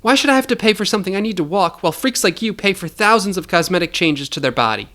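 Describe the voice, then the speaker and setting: Man voicing a desperate, high-pitched defiant girl. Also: animated, fast, bright, forceful tone. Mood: indignant grief. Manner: pleading, indignant, impassioned. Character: desperate, grieving, defiant teenage girl rebel.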